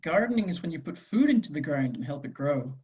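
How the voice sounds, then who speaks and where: A performer reading the part of a friendly, gently teasing man in his late twenties, with a husky voice, at a script table read. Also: medium pitch, sing-song, fast talker, dull audio, natural voice.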